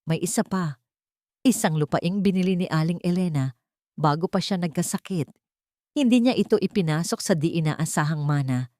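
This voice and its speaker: A woman narrator plays a loyal man guarding secrets in a family drama. He is cautious and deferential, explaining things carefully in a dark, mellow tone with a dry sound. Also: fairly low pitch, dry recording, close to an everyday voice. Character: loyal, secretive male informant, cautious and respectful.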